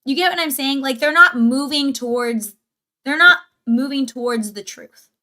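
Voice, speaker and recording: A woman's voice: high-pitched, moderate pace, pushed and bright. A woman hosting a livestream, giving casual, opinionated reaction commentary in an emphatic way with rhetorical questions. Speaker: livestream host.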